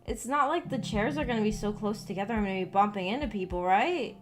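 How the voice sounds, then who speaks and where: A woman's voice: medium pitch, fast talker. A young adult woman chatting casually on a live stream, rambling and hesitant, trailing off mid-thought. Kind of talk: casual rambling livestream chat. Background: background music with guitar.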